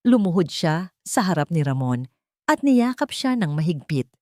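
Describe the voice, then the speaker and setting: A woman with a low voice narrates a dramatic story. Her pitch moves expressively through short, deliberate phrases, earnest and sentimental. The recording is dry and close, with no room sound. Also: big pitch swings, darkish tone, dry recording.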